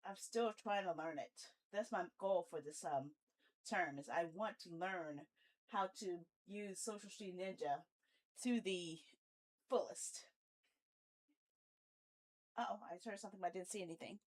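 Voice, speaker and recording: A woman's voice: fairly low pitch, a little slow, long pauses, slight background noise, rough recording. Rambling woman livestreamer chatting casually. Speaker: video game livestreamer, host.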